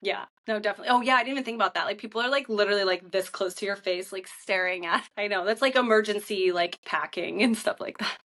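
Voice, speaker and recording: A woman's voice: mid-range voice, fast, a bit bright. A young woman hosting a podcast in casual chat, bubbly and informal, peppering her talk with "like". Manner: bubbly, informal, heavy "like" filler. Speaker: podcast host, interviewer.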